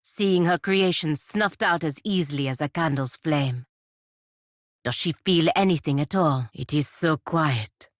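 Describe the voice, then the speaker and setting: An actress playing a proud, sardonic fantasy warrior-diplomat in a video game, speaking formally and with dry scorn. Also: female voice, low voice, a little slow, dry recording. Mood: grave, wondering. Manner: formal, clipped, dryly scornful. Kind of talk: video game character dialogue.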